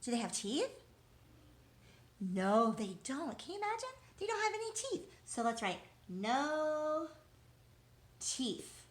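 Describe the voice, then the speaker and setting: A woman with a slightly high voice leads an interactive lesson for young children at a very slow pace. She poses simple questions and answers them herself as though children had replied. Her manner is encouraging, and she repeats key words deliberately. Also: very animated. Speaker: teacher.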